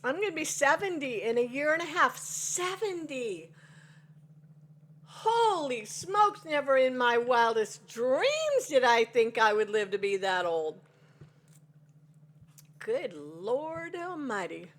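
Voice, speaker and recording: A woman's voice: high voice, very animated, takes it slowly, a bit bright. A woman in her sixties, chatting to viewers over coffee and rambling through a personal story with folksy exclamations. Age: 60s. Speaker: devotional vlogger.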